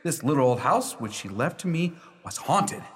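Radio play: male actor as proud, emphatic young lawyer, higher-pitched, very expressive, reverberant. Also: normal speed. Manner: emphatic, storytelling. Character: proud half-Scottish, half-Yankee young male lawyer recounting his haunting. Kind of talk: theatrical radio play monologue. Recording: reverberant hall sound.

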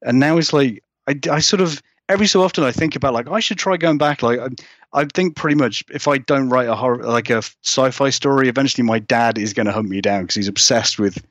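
A man's voice: medium pitch, fairly quick, fairly bright tone, no echo, highs cut off. A man chatting informally as an interview guest, in a rambling, self-deprecating way. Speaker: horror writer, interview guest. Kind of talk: casual interview chat.

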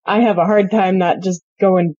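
A woman's voice: lowish voice, steady tone, moderate pace, dark tone, husky, dry recording. A woman with an American accent chatting casually and playfully as a podcast co-host, on slightly dull audio. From Georgia, US. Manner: playful, slips into put-on accents. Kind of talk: casual chat.

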